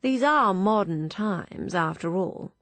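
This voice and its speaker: A woman voicing a poised aristocratic matron who speaks in cool, measured, refined reproach. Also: medium pitch, animated, a bit bright, no room sound. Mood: genteel. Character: poised aristocratic matron, cutting yet genteel.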